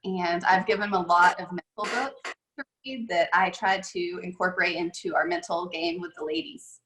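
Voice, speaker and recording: A woman's voice: on the low side, steady tone, normal speed, a bit bright, slight background noise. A woman head golf coach telling stories in a casual, chatty way with many fillers, over a video call. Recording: echoey room on a video call.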